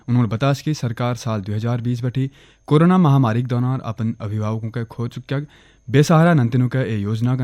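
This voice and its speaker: A man with a Kumaoni accent reading a regional radio news bulletin in a steady, formal way. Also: mid-range voice, no echo. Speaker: newsreader. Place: radio studio.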